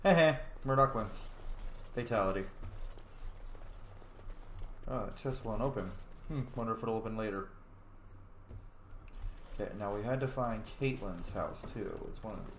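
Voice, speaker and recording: A man's voice: on the low side, normal speed, long pauses, fairly bright tone, reverberant, a little hiss or hum. A man playing through a fantasy roleplay game, reading his player lines aloud in a playful, casual way. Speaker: roleplay gamer.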